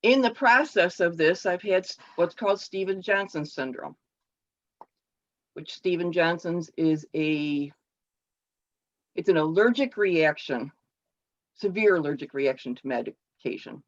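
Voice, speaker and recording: A woman giving a personal speech to her club over a video call, halting and explanatory with frequent restarts. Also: lowish voice. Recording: dull lo-fi call audio.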